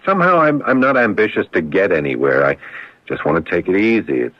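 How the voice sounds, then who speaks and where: Low-voiced, easygoing American handyman. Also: sing-song, a little fast, no echo. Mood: easygoing, modest. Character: easygoing amnesiac handyman, guarded about his forgotten past. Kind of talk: naturalistic American radio drama acting. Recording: dull, dry old radio recording.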